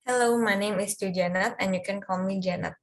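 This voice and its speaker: A woman of twenty, answering questions in a mock English speaking test in measured sentences with occasional fillers. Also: mid-range voice, steady tone. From Indonesia. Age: 20s. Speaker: test candidate, peer examiner.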